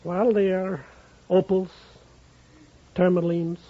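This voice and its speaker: A man's voice: sits high, level tone, slow. A man answering questions as a contestant on a 1950s radio quiz show. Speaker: quiz contestant, diamond merchant. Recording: noisy muffled 1950s radio, studio audience. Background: studio audience.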